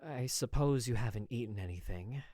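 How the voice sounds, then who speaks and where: A man's voice: medium pitch, normal speed, warm tone, no echo, studio quality, natural voice. A man voicing an exasperated, hot-tempered young man of the Old West in an audio story, snappy and scolding. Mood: dry, curious. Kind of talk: audio story character acting.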